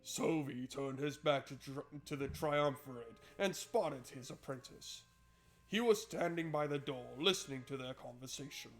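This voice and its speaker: A man's voice: mid-range voice, moderate pace, soft background music. A man doing casual, joking playthrough commentary and reading game narration aloud in an informal way. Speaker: game streamer.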